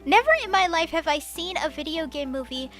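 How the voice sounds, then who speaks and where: A young woman reading a scripted movie review as a voice-over, enthusiastic and expressive with casual slang. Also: high-pitched, brisk, soft background music.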